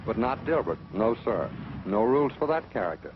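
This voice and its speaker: Low-voiced American man narrating an old training film. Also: big pitch swings, fairly quick, very noisy. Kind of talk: 1940s scripted instructional film narration. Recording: dull old film soundtrack, music behind.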